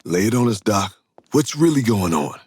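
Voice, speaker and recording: An actor playing a protective, plainspoken father in an audio drama, brisk and casual. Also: lowish voice, big pitch swings, darkish tone, slightly rough, no room sound. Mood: easygoing.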